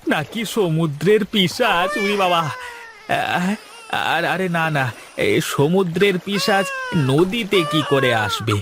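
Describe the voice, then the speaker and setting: A man's voice: high voice, lively intonation, takes it slowly, background noise. A man voicing a poor, kind-hearted, jittery boatman in a melodramatic children's cartoon, muttering and exclaiming to himself. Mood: startled, fearful.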